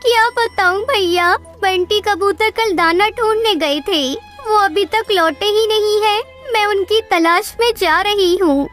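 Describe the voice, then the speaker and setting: An anxious, devoted little female bird from a children's cartoon, acted by a woman. The voice is extremely high, earnest and pleading, over light music. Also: unhurried, few pauses, a bit bright. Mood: worried.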